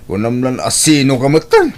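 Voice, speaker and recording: Man acting troubled elder brother, defiant, needy, Ilocano accent, gravelly fry, audio drama. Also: medium pitch, wildly swooping pitch, moderate pace.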